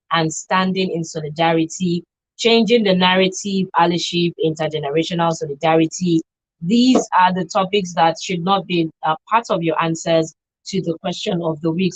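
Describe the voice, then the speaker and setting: A Nigerian woman hosts a radio talk show. Her voice sits a little low for a woman. The sound is dry, recorded in a studio. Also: no room sound, poor audio.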